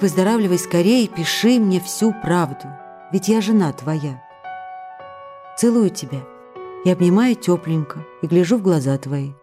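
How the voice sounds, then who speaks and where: An actress in a radio play, playing a devoted, anxious wife reading out tenderly reproachful letters. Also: female voice, fairly low pitch, dark tone. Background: music behind the voice.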